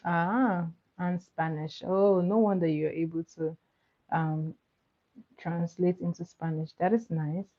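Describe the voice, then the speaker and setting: A woman with Igbo as her chats easily with her livestream viewers in a lowish voice, giggling and doubling back on her words. The sound is dull and lo-fi, like a home stream with a basic mic. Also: steady tone, slow pace. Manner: chatty, giggly, repeats words. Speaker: livestream host. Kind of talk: casual chat with viewers.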